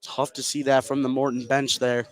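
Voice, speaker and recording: A man's voice: mid-range voice, little pitch movement, normal speed. A man working as color commentator on a live basketball broadcast, explaining the play in a conversational way.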